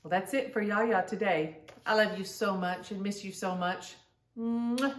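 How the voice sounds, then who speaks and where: A middle-aged woman hosts a storytime for small children from her living room. She moves between reading aloud and simple, direct asides and questions to her young listeners. Her pitch sits in the middle for a woman, and the microphone is some distance off, with plenty of room echo around her voice. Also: unhurried, a little hiss or hum. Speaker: storytime host reading to grandchildren. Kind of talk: children's picture-book read-aloud with chatty asides. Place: living room at home, indoors.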